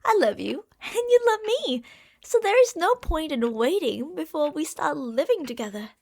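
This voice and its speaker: Woman acting high-voiced obsessive yandere girlfriend. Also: normal speed, gravelly, full character voice. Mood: adoring, eager. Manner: doting, gushing, swinging between hushed and excited. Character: obsessive, delusional yandere girlfriend, a genius scientist from another universe. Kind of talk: ASMR roleplay monologue addressed to the listener.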